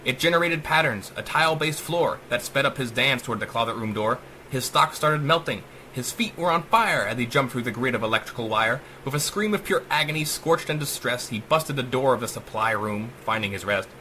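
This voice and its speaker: Male narrator, theatrical verse delivery, lively pitch swings, bright forceful tone, faintly noisy recording. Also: brisk. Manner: theatrical, sing-song verse cadence. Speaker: author reading own story. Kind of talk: dramatic rhymed audiobook narration.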